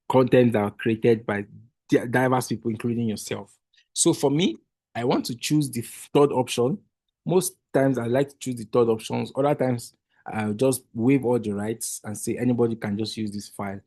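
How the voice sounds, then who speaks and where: A man's voice: medium pitch, dark tone, no room sound. Man talking through an online tutorial. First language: Igbo. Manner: patient step-by-step explaining with restarts. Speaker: workshop trainer. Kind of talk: screen-share tutorial walkthrough.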